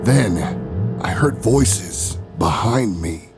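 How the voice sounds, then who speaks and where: A man acts out a brash ex-wrestler who hosts an investigative show in an audio drama. His voice is gravelly and swings widely in pitch. He is gruff, cocky and quick to anger, with music playing underneath. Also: lively intonation, full character voice. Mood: gritty, boastful. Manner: gruff, cocky, plain-spoken bravado. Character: brash, hot-tempered ex-wrestler turned investigative radio show host. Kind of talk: audio drama narration and dialogue.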